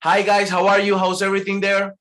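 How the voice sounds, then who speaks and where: A man from Colombia presenting a live segment, warmly inviting guests to speak. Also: high voice, monotone, brisk. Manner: friendly, inviting questions. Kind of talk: casual live show hosting.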